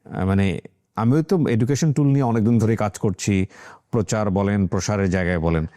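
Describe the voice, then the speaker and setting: A man's voice: fairly low pitch, moderate pace, warm tone. A man with a Bangladeshi accent hosting an interview, talking conversationally and turning to address the audience, with English phrases mixed in. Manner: mixes English phrases into speech. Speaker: talk show host.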